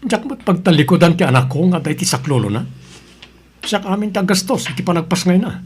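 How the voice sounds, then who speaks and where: Stubborn Ilocano son played by a man, low-key drama. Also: sing-song, fairly quick, a little hiss or hum. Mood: firm, cold. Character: stubborn adult son, cold husband leaving his pregnant wife. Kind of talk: Ilocano audio drama acting.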